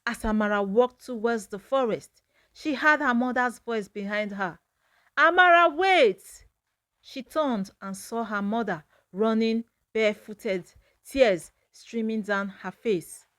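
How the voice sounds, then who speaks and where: A woman narrates a folktale at a slow, measured pace. Her voice sits fairly high and the sound is dry and close, with a dramatic storytelling delivery. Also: no room sound.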